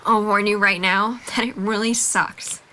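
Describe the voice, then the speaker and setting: A woman's voice: mid-range voice, fairly even intonation, measured pace, sharp tone. A young woman playing a candid, level-headed girlfriend in a breakup drama, direct and composed. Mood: concerned, bittersweet. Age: young adult. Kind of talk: naturalistic dramatic dialogue.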